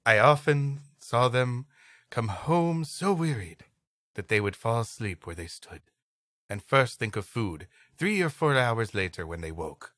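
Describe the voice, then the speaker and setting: Guy reading an old tale for a comedy podcast, bright voice, really lively pitch, dry close sound. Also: unhurried, dry recording. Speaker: podcast co-host. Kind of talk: reading an old adventure story aloud with comic banter.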